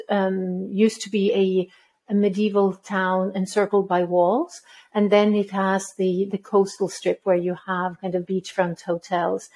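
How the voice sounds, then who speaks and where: A woman from Norway in her fifties speaks with a mellow, rather even voice. She answers interview questions at a measured pace, pausing on ums and restarting phrases. Her tone stays level. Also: medium pitch. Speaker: head of school, guest.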